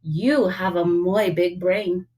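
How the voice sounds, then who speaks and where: A woman's voice: fairly low pitch, steady tone, takes it slowly, a little mellow, voice pushed down. A woman voicing small, cheeky male Chihuahuas in a storybook, playfully sprinkling in Spanish words.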